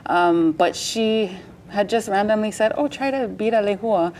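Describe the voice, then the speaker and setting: A woman's voice: medium pitch, unhurried, darkish tone, slight background noise, poor audio. A woman, a guest mother, chatting casually in a TV interview and laughing as she tells her stories. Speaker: guest, mother of the powwow princess.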